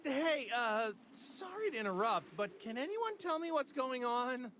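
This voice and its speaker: Very high-pitched boyish fighting hero. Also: male voice, very animated, a little slow, a bit bright, a little hiss or hum, heavily acted. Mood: curious, puzzled. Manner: boyish, easygoing, earnest. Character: cheerful, naive, good-hearted male martial arts hero. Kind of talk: anime fighting game voice acting. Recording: music behind the voice.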